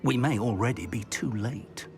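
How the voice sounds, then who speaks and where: An older actor playing an earnest, courteous wizard professor and mentor in a video game. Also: male voice, mid-range voice, moderate pace, close to an everyday voice. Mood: urgent concern. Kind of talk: video game cutscene acting. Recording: music behind.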